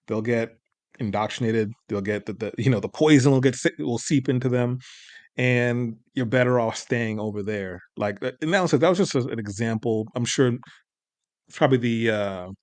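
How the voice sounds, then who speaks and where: A man's voice: mid-range voice, a little mellow, no room sound. Laid-back man in a reaction chat. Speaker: co-host reacting to clips.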